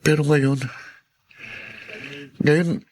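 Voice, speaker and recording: An actor playing an ailing, devoted young grandson in a podcast drama, speaking with halting emotion. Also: male voice, on the high side, little pitch movement. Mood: resigned, anguished. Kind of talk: podcast radio drama acting.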